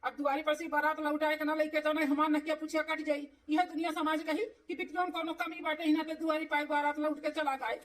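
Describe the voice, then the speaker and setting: A woman's voice: high-pitched, level tone, fairly quick, few pauses, recorded from a distance, close to an everyday voice. A woman playing an anxious cartoon father of a bride, pleading and lamenting in an Awadhi accent. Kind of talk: cartoon dialogue in village comedy.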